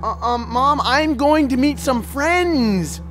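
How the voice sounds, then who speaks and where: An actor playing a timid young male assistant in an audio drama, flustered, stammering and halting. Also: extremely high pitch, expressive, over music, heavily acted. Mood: nervous. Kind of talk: theatrical audio drama acting.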